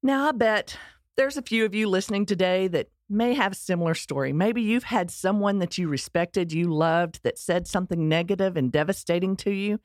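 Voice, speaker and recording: A woman's voice: medium pitch, moderate pace, darkish tone, no room sound, clean studio sound. A middle-aged woman with a Texan accent telling her personal story in a candid, earnest way, with touches of self-deprecating humor. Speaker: speaker sharing her life story.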